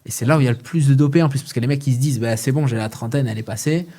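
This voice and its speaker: A relaxed podcast host speaks in a husky, low-key voice. He chats loosely and keeps dropping quick agreements while the other speaker talks. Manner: relaxed, conversational, frequent short agreements. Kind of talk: casual podcast discussion.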